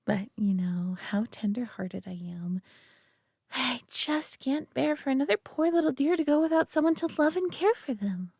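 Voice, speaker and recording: A woman acting as an alien mistress with a high, feminine voice, recorded close and dry. She dotes possessively on her pet human, coddling and gushing with gentle condescension. It is intimate roleplay audio drama. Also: expressive, normal speed, creaky, husky, no room sound, heavily acted. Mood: fond, reassuring.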